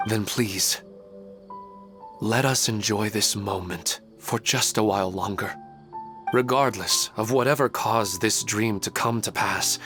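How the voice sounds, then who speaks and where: A man voicing a gentle, humble, resigned father in a video game, speaking politely and with measure. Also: fairly low pitch. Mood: grateful. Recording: music clearly behind the voice.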